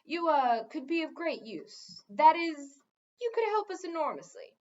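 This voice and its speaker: A woman voicing a smooth, pushy, lecturing male head wizard in a children's fantasy story. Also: high-pitched, big pitch swings, a little slow, darkish tone, highs cut off, pitched above the performer's own voice. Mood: vague, coaxing. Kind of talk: children's fantasy audiobook reading.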